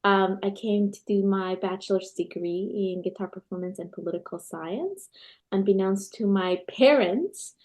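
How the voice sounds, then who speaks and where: A woman's voice: mid-range voice, moderate pace, a little mellow. A woman from Peru, a guitarist and scholar in her thirties, answering interview questions with enthusiastic storytelling. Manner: enthusiastic, storytelling with occasional restarts.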